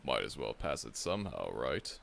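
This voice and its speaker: A man's voice: rumbling low, very animated, normal speed, gravelly, a bit bright, heavily acted. A man voicing a seasoned, confident monster hunter who acts as a friendly mentor, reassuring the listener, calling them kid and chuckling often in an ASMR audio roleplay. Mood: encouraging.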